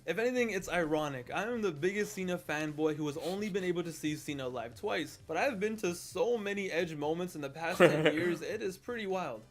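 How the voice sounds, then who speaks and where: A man in his twenties from Canada, narrating a wrestling countdown video in an upbeat storytelling way with casual slang. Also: fairly high pitch. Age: 20s. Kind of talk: scripted list narration.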